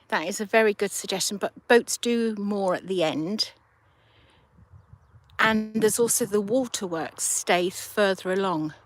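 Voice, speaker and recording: A woman chats unhurriedly to her live viewers. She talks at a slow, easy pace, thinking aloud as she goes, her voice in a middle register. She holds her phone out of doors by a riverside. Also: medium pitch. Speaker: livestream host. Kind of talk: casual chat with live viewers. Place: outdoors by a river at sunset. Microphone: handheld phone.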